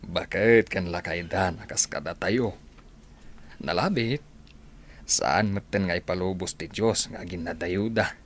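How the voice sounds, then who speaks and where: An easygoing Filipino friend in an audio drama, acted by a man. His voice sits low, in a conversational delivery. There is clear noise behind the recording. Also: measured pace. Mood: warm, reflective. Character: Tindo, easygoing male friend of an older groom.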